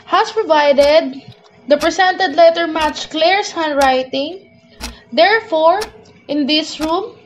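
A woman playing a stern female investigator in a radio drama, speaking formally and deliberately. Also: high voice, poor audio.

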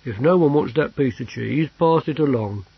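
A big, cheerfully simple storybook warrior, as a male narrator puts him on, blunt and casual. The voice is husky and pitched up from the reader's own. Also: moderate pace, no room sound, lo-fi sound. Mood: blunt, hungry.

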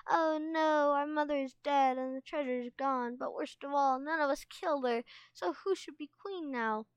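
A woman's voice: fairly high pitch, lively intonation, measured pace, dry recording. A woman voicing a bossy, dramatic young female dragon in a storybook, with a commanding and emphatic delivery. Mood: mock anguish.

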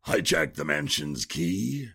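A man playing a gruff, sarcastic, skeptical adventurer in an audio drama, with a dry, sardonic way of talking. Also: very deep voice, expressive, unhurried, full character voice. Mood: sardonic, wary. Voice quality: gravelly, husky.

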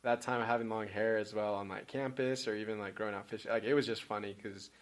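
A man's voice: lowish voice, steady tone, fast. A young man from Peru talking casually as a podcast guest, laid-back and rambling with many fillers and restarts. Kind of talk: casual chat.

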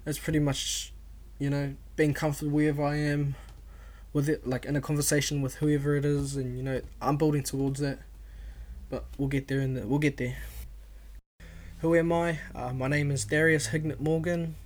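Young kiwi guy hosting his own podcast, laid-back, kinda flat delivery, lots of ums. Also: on the high side, normal speed. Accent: New Zealand. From New Zealand. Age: 20s. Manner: laid-back, self-described introvert, many fillers and restarts. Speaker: podcast host. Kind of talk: casual solo podcast introduction.

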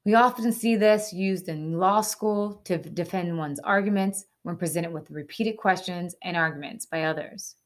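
A woman with a fairly low voice presents a short educational segment. She reads scripted narration in an earnest, instructive manner. Now and then she drops in a casual aside or a rhetorical question. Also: normal speed, hardly any pauses.

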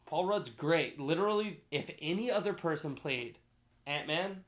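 A man's voice: a little high, expressive, normal speed. Emphatic, opinionated man talking movies. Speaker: podcast host. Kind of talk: casual film discussion.

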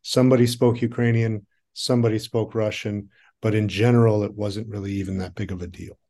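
A man hosting an interview, asking questions in a measured way and restating his guest's answers to make them clear. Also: lowish voice, fairly even intonation, mellow. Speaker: interviewer host. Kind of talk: conversational interview.

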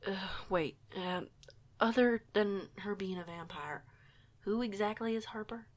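A woman's voice: mid-range voice, unhurried, natural voice. A woman playing a shy young female werewolf newcomer in an audio roleplay, hesitant, folksy and polite. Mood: hesitant, worried.

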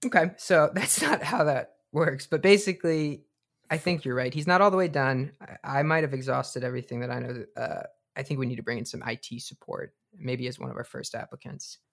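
A man playing an excitable, foul-mouthed bunker leader and tinkerer, co-hosting a comedic radio drama in a casual, hesitant way. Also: medium pitch, a little fast, vocal fry. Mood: informal, upbeat. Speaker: co-host.

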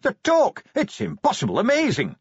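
A wildly excitable male inventor, high and loud, bursting with wonder, as a man puts him on. His voice jumps around, and the read sounds a little muffled. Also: husky, no room sound, exaggerated voice. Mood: excited. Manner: rapid, exclamatory. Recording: slightly dull, dry studio read.

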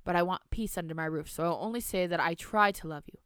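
A woman's voice: lowish voice, quick pace, a bit bright, clean tone, dry recording, clean studio sound, lightly acted. A woman voicing a stern, weary grandmother in her later years, scolding a child.

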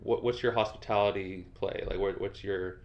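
Man hosting an interview, lowish voice, rambling chatty delivery, dull lo-fi sound. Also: steady tone, moderate pace. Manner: rambling, lots of fillers, chatty. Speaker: podcast host, interviewer. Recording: dull lo-fi audio.

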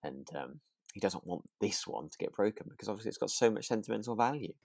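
A man with a fairly low voice talks in a relaxed podcast chat. He is hesitant, often doubling back to rephrase as he thinks aloud. The sound is dry, with very little room. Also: fast, gravelly, no room sound. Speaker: podcast co-host. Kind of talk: relaxed chat reviewing a radio drama.

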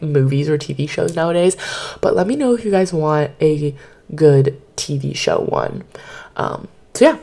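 A woman hosting her podcast in casual chat, slightly hoarse from losing her voice, chatty and rambling with lots of fillers, recorded on her bed at home into a microphone. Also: low voice, warm tone. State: slightly hoarse, partly lost voice. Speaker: podcast host.

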